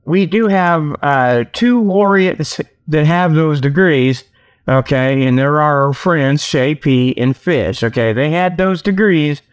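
Male comedy radio host, slightly higher register, folksy and joking, loose off-the-cuff monologue with tongue-in-cheek salesman patter. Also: takes it slowly, smooth. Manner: folksy, joking, chuckling at own jokes; repeats "okay" as a verbal tag. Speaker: solo host of a comedy internet radio show. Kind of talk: rambling casual monologue with mock sales pitches.

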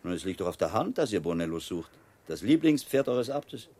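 An actor playing a shrewd, middle-aged learned friar in a radio play, a wry and self-assured mentor who explains things precisely. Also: male voice, low voice, sing-song, a little fast, a little mellow. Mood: amused, confident.

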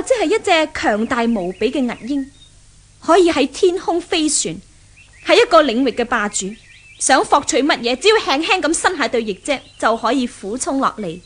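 An imperious young heiress in a 1970s radio drama. Her voice is high and swings widely in pitch, with a Hong Kong Cantonese accent. She is haughty, sneering and used to giving orders. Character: arrogant, domineering rich young woman, jealous love rival.

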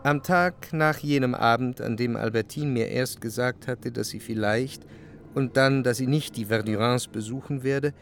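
An introspective lover played by a man recounts his memories in a measured, literary way, at a medium pitch. Faint music runs behind this radio-drama narration. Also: natural voice. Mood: contemplative remembering. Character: reflective male narrator, a jealous literary lover remembering.

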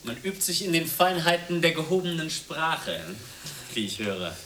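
Radio play: pompous, sarcastic dancer, raised pitch, off-mic with some hiss, theatrical and arch. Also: male voice, sing-song, normal speed, pushed and bright. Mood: haughty mockery. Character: arch, affected male ballet dancer, mocking. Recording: distant from microphone, background hiss.

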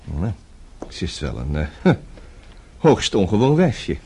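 An actor playing a flustered, earnest suitor in a radio play, stammering and hesitant, quick to take offence. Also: male voice, low voice, huge pitch swings, noisy background, exaggerated voice. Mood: awkward courting. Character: flustered, boyish suitor, earnest and easily indignant. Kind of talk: Dutch radio play acting.

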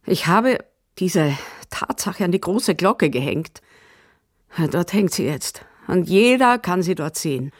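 An actress playing a determined, wronged lover in an absurdist radio drama, insistent and literal-minded. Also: female voice, lowish voice, lively intonation, slow pace, no room sound, clean studio sound. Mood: resolute. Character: determined, wronged lover demanding her new life.

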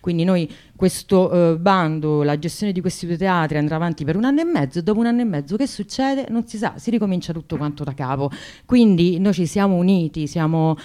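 A woman talking spontaneously on the radio about a protest, often halting and searching for words. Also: fairly low pitch, dark tone. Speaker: activist guest, singer.

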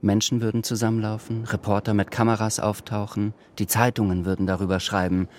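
A man narrating a literary radio drama in a measured voice. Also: lowish voice, flat delivery, darkish tone, a little hiss or hum, close to an everyday voice.

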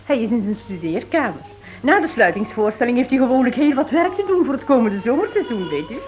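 An actress playing an excitable wife in a radio drama. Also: female voice, fairly high pitch, fast talker. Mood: sociable. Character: excitable Spanish wife fussing over her husband. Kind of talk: Dutch radio play acting. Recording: dull noisy old radio recording.

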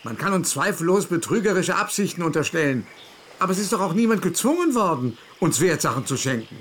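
A man's voice: high-pitched, big pitch swings, a little fast, fairly bright tone, a little hiss or hum. An actor in a radio play playing an obsequious male swindler, polite and wheedling in formal phrases. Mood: wheedling, pleading. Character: smooth-talking, obsequious male swindler and sham ascetic.

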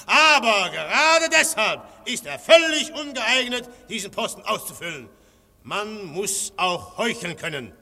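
A cold, fanatical anarchist agitator in a radio play. His very forceful, high voice delivers scornful, commanding rhetoric, echoing through a reverberant hall. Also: full character voice. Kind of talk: German radio play acting. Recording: echoey hall with long reverb.

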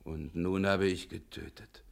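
A man's voice: low voice, steady tone, unhurried, gravelly. A man playing a bewildered, guilt-stricken killer in a grave, subdued radio drama style. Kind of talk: 1960 German radio drama acting.

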